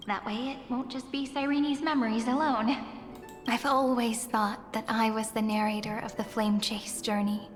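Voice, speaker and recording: An actress voicing a gentle, wistful young fantasy game heroine, speaking in a measured, tender, poetic way. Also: female voice, a little high, steady tone, lightly acted. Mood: solemn, storytelling. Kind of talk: scripted fantasy game voice acting. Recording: long reverb under game music.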